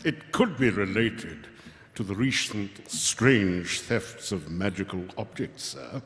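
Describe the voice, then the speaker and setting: A man playing a deliberate, deferential secretary to a city ruler in live audio theatre. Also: fairly low pitch, lively intonation. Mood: measured. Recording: reverberant live hall.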